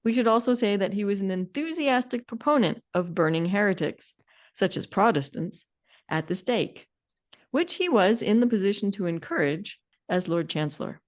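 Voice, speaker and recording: A woman's voice: mid-range voice, expressive, moderate pace. A woman co-hosting a podcast in a casual discussion, speaking in a wry, colloquial way with informal emphasis. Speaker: podcast co-host.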